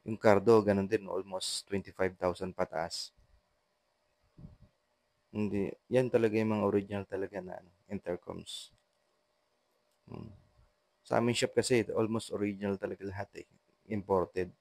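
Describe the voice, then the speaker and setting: A Filipino man narrating a casual how-to vlog in a halting, repetitive way, with short trailing phrases. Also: on the low side, steady tone, creaky, darkish tone, dry recording, poor audio. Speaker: vlogger, intercom installer.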